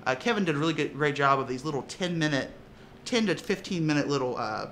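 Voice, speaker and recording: A man with a bright, forceful voice pitched a little high chats in a casual interview. He tells stories with lots of laughter and quick restarts. A faint hiss of noise sits behind him. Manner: animated, laughing storyteller with many restarts. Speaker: tabletop player and voice actor.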